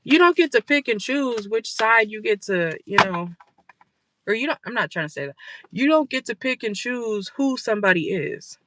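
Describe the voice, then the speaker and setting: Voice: medium pitch, expressive, normal speed, fairly bright tone, a little hiss or hum, poor audio. A tarot reader giving a casual reading for viewers from a car, blunt and profane, tagging lines with "okay?", and losing their voice.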